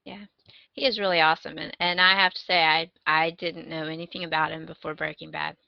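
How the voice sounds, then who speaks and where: A woman's voice: deep voice, flat delivery, brisk, vocal fry, dry recording, dull audio. A woman co-hosting a podcast, chatting casually and giving recommendations. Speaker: podcast co-host.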